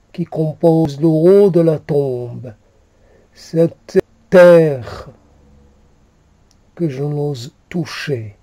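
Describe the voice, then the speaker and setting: Very slow, solemn man reciting poetry. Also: fairly high pitch, mellow, dry recording. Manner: solemn, halting, with repeated phrases. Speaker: poet reciting own poem.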